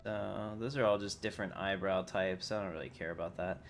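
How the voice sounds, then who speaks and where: A man with a fairly low voice does casual livestream commentary over a game. He thinks aloud in an informal way as he plays. Faint noise and keyboard typing sit behind him. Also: steady tone, brisk, creaky. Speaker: livestreamer, game player and channel host.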